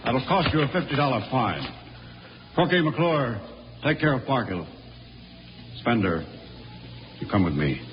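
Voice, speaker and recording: An American actor playing an authoritative but reasonable spaceship captain in a 1950s radio drama. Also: male voice, medium pitch, sing-song, a little slow, pauses often. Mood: stern. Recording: muffled old radio recording, music behind.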